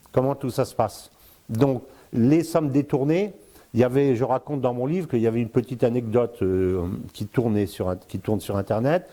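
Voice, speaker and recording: Hesitant older man, mellow voice. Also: medium pitch. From born in Congo, lived in Africa, Spain, Brazil. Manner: hesitant, many fillers and repeated words; explains at length, addresses the listener directly. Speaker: strategic affairs commentator, guest. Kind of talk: casual spoken commentary.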